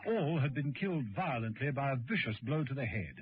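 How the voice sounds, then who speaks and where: A man narrating a spy adventure radio drama in a measured, dramatic storytelling style. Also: mid-range voice, lively intonation, breathy edge. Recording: dull old radio recording, music.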